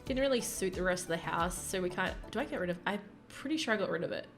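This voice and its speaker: A young woman chatting casually over a game build video, rambling and giggly with lots of fillers, her voice croaky from early morning. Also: fairly low pitch, animated, fast, faint music behind. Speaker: gaming YouTuber narrating a build.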